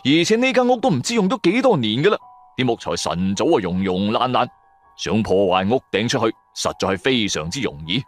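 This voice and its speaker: Male Cantonese audio-drama narrator. Theatrical, suspenseful, highly varied intonation, faint music bed. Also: sing-song, a little fast. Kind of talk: dramatic first-person storytelling narration of a horror adventure serial.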